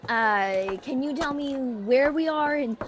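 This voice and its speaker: A woman's voice: high voice, slow, noisy background. A woman playing a whiny, exasperated schoolkid in a radio play, stammering when flustered. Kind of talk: student radio theatre acting.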